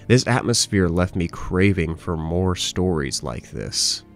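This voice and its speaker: Deep-voiced male video essay narrator. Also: animated, a little slow, soft background music. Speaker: host, horror series reviewer.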